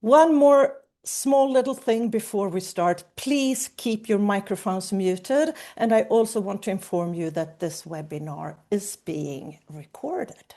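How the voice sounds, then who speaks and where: A woman presents a webinar over a video call. Her voice is mellow and warm, at a mid pitch. She speaks in a clear, upbeat way as she guides the audience through the session. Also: slow pace, hardly any pauses.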